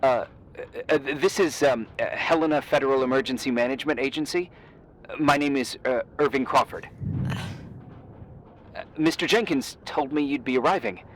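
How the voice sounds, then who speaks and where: An actor playing a nervous, awkward American emergency agent in a video game, stammering and hesitant but eager to help. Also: male voice, medium pitch, moderate pace, a bit bright, a little hiss or hum, lightly acted. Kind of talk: naturalistic video game acting.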